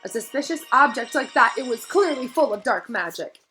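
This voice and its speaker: A woman's voice: high voice, lively intonation, fairly quick, pushed and bright, voice pushed up. A woman voicing a flustered wizard mother in a storybook, exasperated and emphatic as she speaks. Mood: scolding. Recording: music behind the voice.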